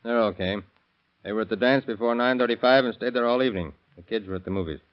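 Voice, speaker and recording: An actor playing a diligent, plain-spoken sheriff's deputy, folksy and matter-of-fact, in a 1930s radio crime drama. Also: male voice, mid-range voice, quick pace, close to an everyday voice. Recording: dull, muffled vintage radio recording.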